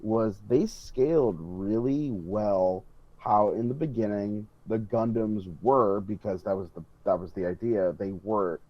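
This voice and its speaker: Low-voiced man, dull dry recording. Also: unhurried, no echo. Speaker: podcast panelist. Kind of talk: casual hobby chat. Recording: dull, close, dry lo-fi voice track.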